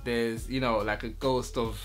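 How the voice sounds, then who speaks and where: A man's voice: mid-range voice, little pitch movement, unhurried, faint music behind. A man talking casually to camera as a vlogger, in a loose, chatty way, often checking in with his listener.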